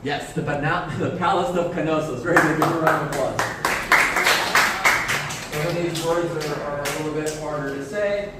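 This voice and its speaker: Teacher heard from far off in an echoey classroom. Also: male voice, fairly high pitch, heavy background noise. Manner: slow, clear explanations with frequent praise. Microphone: camera far from the speaker.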